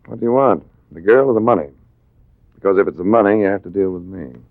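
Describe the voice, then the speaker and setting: A man's voice: deep voice, lively intonation, fast, dry recording. A man acting a suave, hard-boiled adventurer in a 1950s radio drama, with a wry, cool, tough-talking manner. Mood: cool, needling. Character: suave, hard-boiled male adventurer narrating his own case. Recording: muffled, hissy vintage radio recording.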